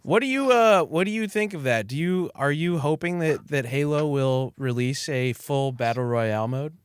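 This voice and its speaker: A man bantering casually as a co-host, excitable and jokey. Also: fairly high pitch, moderate pace, smooth, dry recording, clean studio sound. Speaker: interviewer, podcast co-host.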